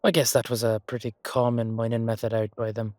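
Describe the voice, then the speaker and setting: A man voicing a genial, shrewd knight in a fantasy audio roleplay, speaking in a measured, folksy, old-fashioned way. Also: medium pitch, flat delivery, darkish tone, dry recording, clean studio sound, lightly acted. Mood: diplomatic. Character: male knight, genial, shrewd negotiator on royal business.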